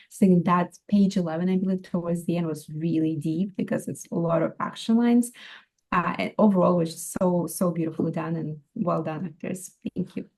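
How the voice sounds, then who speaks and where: A woman's voice: fairly low pitch, warm tone, poor audio. A woman giving casual spoken feedback in a group discussion. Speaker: feedback participant.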